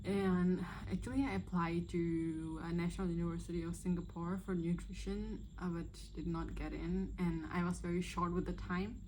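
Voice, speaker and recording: Young woman in her 20s from Myanmar, low-ish mellow voice, chatty and hesitant vlog Q&A, faint room hiss. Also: fairly even intonation, normal speed, few pauses, rough recording. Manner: chatty, hesitant, with many fillers. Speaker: vlogger. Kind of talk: casual first-video Q&A chat.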